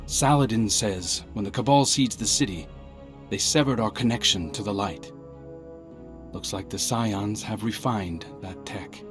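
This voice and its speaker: An actor playing an earnest, wry undercover scout in a video game, talking in an urgent, conversational way. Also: male voice, fairly low pitch, natural voice. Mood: uneasy briefing. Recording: loud music behind. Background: game soundtrack music.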